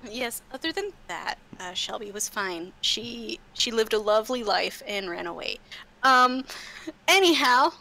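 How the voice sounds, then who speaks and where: A bright-voiced woman runs a tabletop game in a casual, improvised way. Her speech is slow and halting, with repeated words, and swings widely in pitch. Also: animated, poor audio. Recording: slight background noise.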